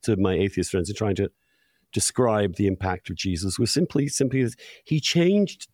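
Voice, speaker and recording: A man's voice: fairly low pitch, animated, moderate pace, darkish tone, no echo, clean studio sound. A man hosting an interview, speaking discursively and emphatically, building his points through repetition. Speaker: podcast host, interviewer.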